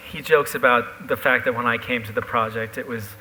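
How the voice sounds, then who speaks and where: Guy illustrator chatting on a panel, bright voice, bit of hum behind him. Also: mid-range voice, steady tone, fairly quick, rough recording. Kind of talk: live on-stage conversation about a book. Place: live event stage. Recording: faint hum on live event audio.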